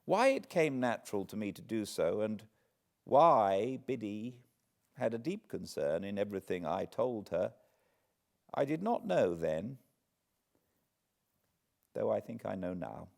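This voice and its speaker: A man, reading a classic novel aloud in measured, expressive storytelling. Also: lowish voice, creaky. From Britain. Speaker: audiobook narrator. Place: cozy home spot beside a fireplace.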